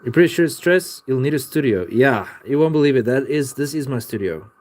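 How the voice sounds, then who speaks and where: A man's voice: medium pitch, lively intonation, a little fast, a little mellow. Rambling young man streaming. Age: young adult. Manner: rambling, loose and profane, with many "like" fillers. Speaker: livestreamer and artist. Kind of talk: casual livestream chat answering viewers. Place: home art studio room.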